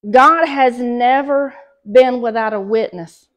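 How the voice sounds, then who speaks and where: A middle-aged woman with a Southern US accent teaching a church Bible study, reading scripture aloud and then explaining it with pauses and fillers, recorded by a camera at a distance in a small fellowship hall. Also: on the high side. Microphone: far camera.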